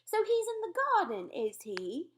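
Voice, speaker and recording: A woman voicing an astonished, imperious queen in a storybook read-aloud, moving between hushed murmurs and sharp commands. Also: extremely high pitch, huge pitch swings, unhurried, slight background noise, pitched above the performer's own voice, heavily acted. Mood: composed, curious. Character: queen, poised, imperious, astonished older royal lady.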